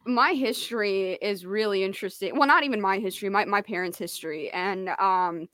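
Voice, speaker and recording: A woman's voice: mid-range voice, fairly quick, a bit bright, no echo. Chatty woman in a dry home studio. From Mexico. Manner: chatty, many "like" fillers, laughs often. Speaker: guest, rock artist. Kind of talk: casual interview chat.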